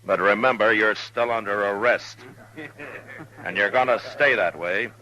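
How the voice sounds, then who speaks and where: An actor playing a dry, unbending American frontier US marshal in an old-time radio western. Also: male voice, on the low side, a little slow, pushed and bright, lo-fi sound. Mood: firm, unyielding. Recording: vintage radio drama recording.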